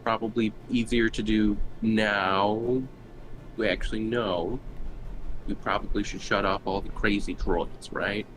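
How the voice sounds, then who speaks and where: A man chatting casually as a player in a tabletop game, with typing and rumble around him. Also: fairly even intonation, measured pace, over music, rough recording. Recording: clear background noise, rumble, keyboard typing.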